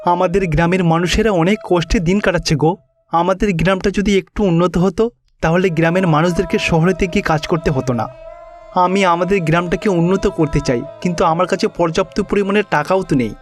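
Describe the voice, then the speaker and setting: A man plays a kindly village headman in a cartoon moral tale. The voice is high for a man, with an earnest, caring manner as he worries over his people. Music plays behind him. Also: brisk, close to an everyday voice. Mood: troubled, pleading. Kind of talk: cartoon story dialogue acting.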